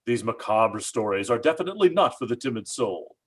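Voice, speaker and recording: A man's voice: medium pitch, big pitch swings, fairly quick, slightly rough. A man announcing an old-time radio horror show with ominous, theatrical scripted narration.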